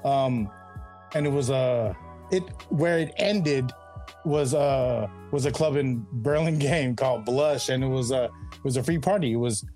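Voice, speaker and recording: A man's voice: mid-range voice, a little slow, mellow, faint music behind. A middle-aged man talking as a podcast guest, laid-back and rambling, full of slang and "you know what I mean". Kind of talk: casual interview chat.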